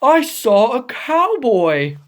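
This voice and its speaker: A woman voicing a big, emotional, needy male elephant from a children's picture book, with dramatic, drawn-out delivery. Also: on the high side, very animated. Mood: sorrowful.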